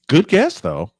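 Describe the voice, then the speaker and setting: A man's voice: on the high side, slightly rough, no echo. A man doing live baseball play-by-play, mixing commentary with casual banter as host.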